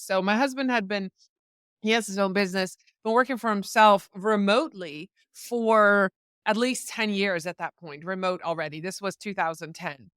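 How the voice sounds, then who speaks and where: A woman in her fifties, born in the Netherlands and a native Dutch speaker, tells her story as a podcast guest in a chatty, animated way. Her voice is smooth and clear. It is recorded dry and close. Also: medium pitch, no echo. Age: 50s. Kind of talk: casual interview storytelling.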